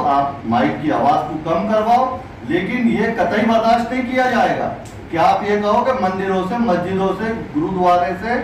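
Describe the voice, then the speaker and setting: A middle-aged man speaking as a religious community leader at a meeting, in deliberate, insistent phrases. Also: high-pitched. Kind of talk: public address at an administrative meeting. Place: crowded indoor meeting room. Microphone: distant camera microphone. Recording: echoey room, distant microphone, faint noise.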